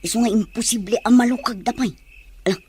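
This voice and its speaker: Woman playing secretive accomplice, Filipino accent, higher voice, radio drama, faint night noise. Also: fast, mellow, close to an everyday voice. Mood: furtive.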